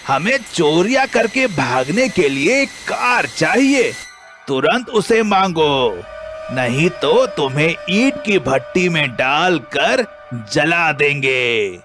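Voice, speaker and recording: A man voicing a threatening thief in a children's story, giving menacing orders in drawn-out phrases. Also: a little high, big pitch swings, music in the background. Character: threatening male thief bullying a villager.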